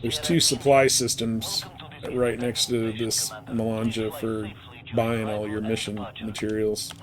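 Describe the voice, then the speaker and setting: A man's voice: on the low side, monotone, a little slow, a crowd in the background, rough recording. A man chatting casually and informally as he streams a game. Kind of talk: casual chat while playing a space game live stream.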